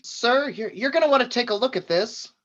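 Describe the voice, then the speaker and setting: Man playing animated comedy bit part, muffled recording. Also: extremely high pitch, brisk, exaggerated voice. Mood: uneasy. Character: supporting comedy characters: uneasy technician, curt boss. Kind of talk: comedy script table read. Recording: dull, muffled lo-fi microphone.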